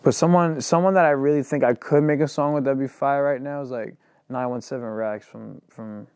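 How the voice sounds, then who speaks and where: A man's voice: medium pitch, steady tone, a little fast, darkish tone, clean tone, dry recording, clean studio sound. A young man, a rapper and singer, talking casually in an interview, rambling and filling his sentences with "like". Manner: loose and rambling, heavy on "like".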